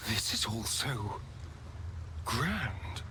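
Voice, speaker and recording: An actor playing a sardonic, guilt-ridden vampire spawn in a video game, theatrical and given to dramatic breathy pauses. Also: male voice, lowish voice, big pitch swings, bright, forceful tone, slight background noise, full character voice. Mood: awed, brooding.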